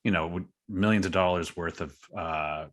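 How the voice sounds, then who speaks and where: A man's voice: low voice, moderate pace. A man talking casually as a podcast guest, an indie creator with an earnest, self-deprecating way of explaining his work. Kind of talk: casual interview chat.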